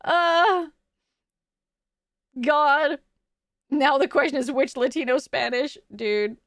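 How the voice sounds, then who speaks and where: A woman's voice: sits high, slow pace, a bit bright, no echo, studio quality. A young adult woman streaming from home, chatting casually with her chat. She rambles, restarts her sentences often and laughs a lot.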